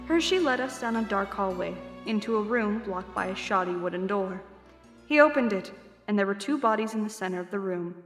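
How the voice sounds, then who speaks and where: Casting: female storyteller, mid pitch, scripted first-person fantasy narration, clear music bed. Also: expressive, a little fast, echoey room, big-room sound.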